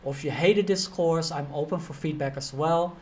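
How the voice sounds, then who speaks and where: A man's voice: fairly high pitch, moderate pace. A man with a Dutch accent speaking informally and directly as a career coach teaching a motivational online course. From Netherlands.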